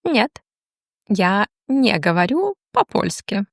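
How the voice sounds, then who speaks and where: A woman teaching a language, reading slow, deliberate question-and-answer drills with long pauses. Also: mid-range voice, sing-song, creaky, no echo, clean studio sound.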